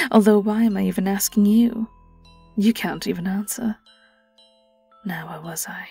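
Vengeful female vampire for audio roleplay, played by a woman: British, husky whispering, slow, theatrical, mocking. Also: mid-range voice, steady tone, darkish tone, faint music behind, heavily acted. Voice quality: breathy, often whispered. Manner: taunting, theatrical, lingering on words. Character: vengeful young female vampire, sly, gloating and predatory. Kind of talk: dramatic audio roleplay monologue.

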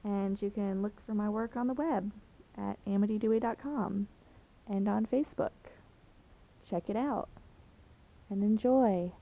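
A woman in her thirties introduces herself and her paintings in a friendly, upbeat way. Her voice has a noticeable vocal fry, and the sound is thin and tinny like a phone line. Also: mid-range voice, a little slow, pauses often. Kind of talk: self-introduction to camera. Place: indoor art exhibit booth.

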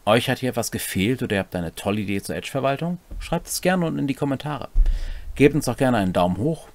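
A man's voice: mid-range voice, expressive, quick pace, no room sound. A man in his forties explaining game rules to camera in an instructive way, addressing viewers directly. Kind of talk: rules explainer to camera, partly read from script. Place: small cluttered home room, indoors.

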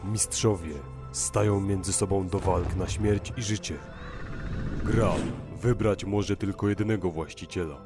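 A man playing a grave, knowing narrator who gives measured, solemn exposition in an anime fan dub. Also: deep voice, fairly even intonation, loud music behind the voice. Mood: grave, explanatory. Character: grave, knowing male narrator of a legendary war.

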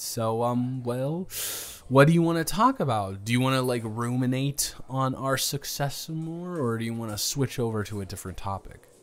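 Playful rambling young man, close mic. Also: medium pitch. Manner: playful, teasing, rambling with restarts. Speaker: audio roleplay performer. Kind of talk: improvised first-person romance roleplay.

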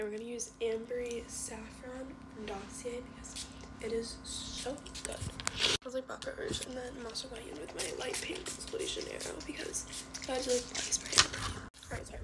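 Teen girl doing a get ready with me, raspy fry in her voice, half whispering, phone held close, lots of noise behind. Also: on the high side. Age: teens. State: losing her voice from coughing. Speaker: vlogger. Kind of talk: casual get-ready-with-me chat. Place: bedroom. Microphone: phone camera at arm's length.

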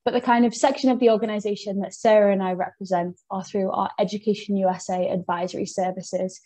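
A young British woman hosting an education webinar over a video call. Her tone is mellow and friendly, upbeat, with plenty of "um"s and "kind of"s. The sound is dry and close. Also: mid-range voice, steady tone, quick pace, no echo. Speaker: webinar host and education advisor. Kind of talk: presenting a webinar.

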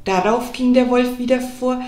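A woman narrating a scripted children's fairy tale deliberately, pausing between phrases. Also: fairly high pitch, fairly even intonation, a little mellow, echoey room. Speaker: storyteller.

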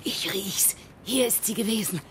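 An actress voicing an evil, greedy, pig-like queen in a radio play, theatrical and savouring each word in a hoarse, rough voice. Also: medium pitch, moderate pace, background noise, close to an everyday voice. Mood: menacing, vengeful.

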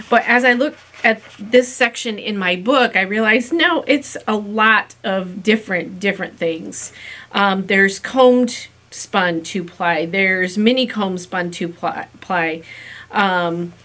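Raspy woman with a bright voice. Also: mid-range voice. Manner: chatty, thinks aloud with many ums. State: raspy from a coming cold. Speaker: fiber-craft vlogger. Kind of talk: casual chat to camera. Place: small room in a home, indoors. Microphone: camera in front at desk distance.

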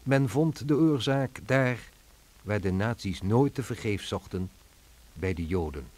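A man's voice: on the low side, lively intonation, a little slow, a little mellow. A man narrating a radio drama in a scripted, dry and ironic way.

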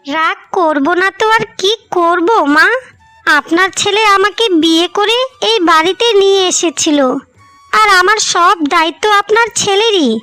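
Woman doing cranky cartoon village housewife, super high sharp voice, always scolding and grumbling. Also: unhurried, no room sound, faint music behind, heavily acted. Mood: sulky, demanding. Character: hard-working, irritable elder daughter-in-law in a village. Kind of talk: Bengali moral-story cartoon dubbing.

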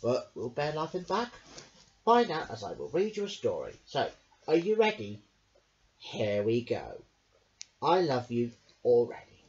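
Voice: mid-range voice, wildly swooping pitch, unhurried, pauses often, a little mellow. Children's storyteller, picture book read-aloud, dull lo-fi home recording.

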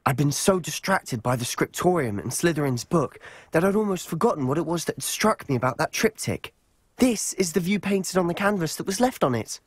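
A man's voice: a little high, animated, brisk, slightly rough. A man playing a determined, secretive teenage wizard with a British accent in a video game, earnest and articulate. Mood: eager. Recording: music under the voice. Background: music.

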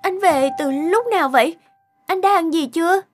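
A woman's voice: very high-pitched, moderate pace, slight background noise. A woman voicing a sweet, evasive young wife in a cartoon. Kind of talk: Vietnamese cartoon dubbing.

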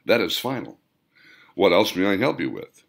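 Man playing a cool, low-voiced auction dealer. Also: normal speed, gravelly, exaggerated voice. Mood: cool, firm. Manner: calm, controlled, firm. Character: cool, controlled male auction dealer, calm and final.

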